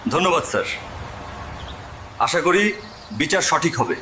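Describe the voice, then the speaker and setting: Man acting a stern high-voiced army captain. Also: sing-song, unhurried, background noise. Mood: respectful. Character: righteous, stern young army captain, protective brother.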